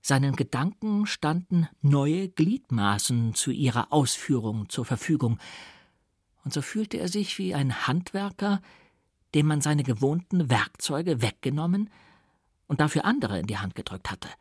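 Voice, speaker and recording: Gravelly, husky male literary narrator. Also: on the high side, sing-song, no room sound, clean studio sound. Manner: theatrical, deliberate phrasing. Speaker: audiobook reader. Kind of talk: expressive scripted literary narration.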